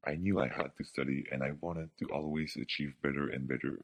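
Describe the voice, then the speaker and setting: A man's voice: very deep voice, steady tone, a little fast, no room sound. A young man, a student, giving his view in a scripted radio debate with formal wording. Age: young adult.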